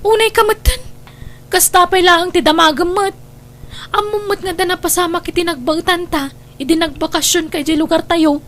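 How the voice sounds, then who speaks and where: A woman's voice: very high-pitched, steady tone, normal speed, fairly bright tone. A woman playing a remorseful young wife in an audio drama, with a plaintive, imploring manner. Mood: hurt, defensive. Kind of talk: melodramatic audio drama acting.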